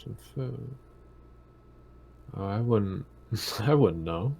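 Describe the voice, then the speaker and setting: A man with a low, creaky voice speaks as a tabletop player. His casual game talk comes out haltingly, with gaps while he looks for words. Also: animated, dark tone, dry recording. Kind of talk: casual in-character game chat.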